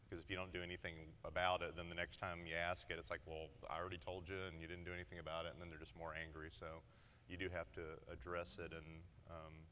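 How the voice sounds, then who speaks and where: A man's voice: sits low, monotone, fast talker, bright, forceful tone, big-room sound, slight background noise. A man in his thirties speaking as a conference panelist, conversational and plain-spoken, on a lapel mic. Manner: conversational, explains in plain terms. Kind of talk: panel discussion. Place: large conference hall stage.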